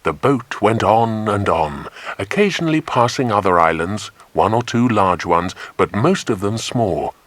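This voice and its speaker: A man reads a children's adventure story as an audiobook narrator. His voice is on the low side and expressive, with animated intonation, recorded dry and close. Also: measured pace, few pauses, dry recording.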